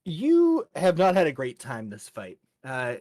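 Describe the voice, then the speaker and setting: A man's voice: on the high side, huge pitch swings, measured pace, a little mellow. A man narrating a tabletop game as game master in a casual, chatty, colorful and informal way.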